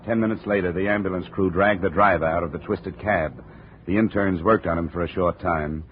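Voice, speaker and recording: An actor playing a brisk, dry American insurance investigator who also narrates a 1950s radio drama. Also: male voice, low voice, steady tone, a little hiss or hum, natural voice. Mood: dry, narrating. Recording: narrow, muffled old radio recording.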